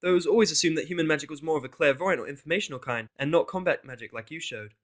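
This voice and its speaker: A man's voice: fairly high pitch, rapid-fire, voice pushed up, close to an everyday voice. Man putting on an eager bookish unicorn mare. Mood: fascinated. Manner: excitable, lecturing, inquisitive. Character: eager, bookish female unicorn pony scholar.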